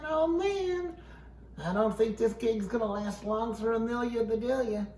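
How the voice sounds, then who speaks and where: A man's voice: very high-pitched, unhurried, a little mellow, roomy sound, distant mic, voice pushed up. A man voicing a skeptical, wisecracking comic sidekick who makes repetitive sarcastic asides. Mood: wry.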